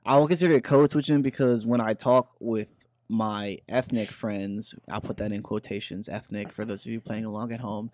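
A young man from Memphis, a podcast guest, talking in a relaxed, deliberate way with joking asides. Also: fairly low pitch, no room sound. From Memphis, USA. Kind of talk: casual podcast chat.

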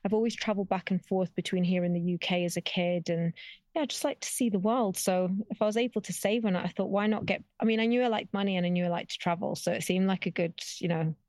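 A woman's voice: mid-range voice, steady tone, darkish tone, dry recording. A woman raised in Spain, a guest telling her story in casual chat over a video call. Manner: chatty, storytelling, quick asides. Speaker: guest, travel business director.

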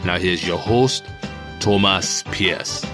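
Deep-voiced man announcing over music. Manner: slow, deliberate announcing. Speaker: show announcer. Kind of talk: scripted show intro. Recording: intro read over clear music.

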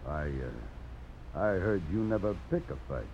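An actor playing a deadly, threatening gambling boss and knife fighter in a 1950s radio drama, with a sneering manner. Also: male voice, deep voice, sing-song, unhurried, gravelly, darkish tone, a little hiss or hum, full character voice. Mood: menacing. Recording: dull old radio broadcast.

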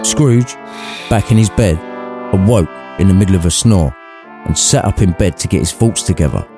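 A man's voice: low voice, animated, normal speed. A man reading an irreverent storybook narration, cheeky and conversational. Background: background music.

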